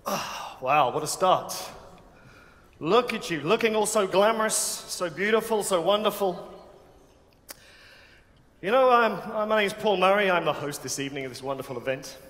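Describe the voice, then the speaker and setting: A man's voice: high-pitched, moderate pace, a bit bright. Joking English man hosting on stage. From England. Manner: joking, self-mocking, chatty. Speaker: awards host. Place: large banquet hall with audience. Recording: long hall reverb.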